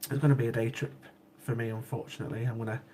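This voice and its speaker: Man, sniffly cold, rambling livestream host, mid pitch, faint hiss. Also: steady tone, rapid-fire, dark tone. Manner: chatty, repeats himself, laughs easily. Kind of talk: casual chat with live chat viewers.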